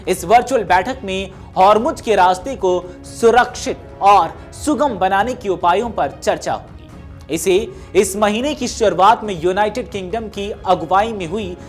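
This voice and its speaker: A woman reading scripted news narration in a formal, measured newsreader delivery. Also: medium pitch. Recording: music behind the voice. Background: background music.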